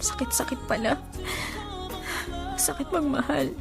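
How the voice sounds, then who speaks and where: Radio drama role: lovesick, insecure young woman, high voice, emotional and pleading, music underneath. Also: measured pace, vocal fry. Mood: hurt, resigned. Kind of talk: Filipino radio drama acting.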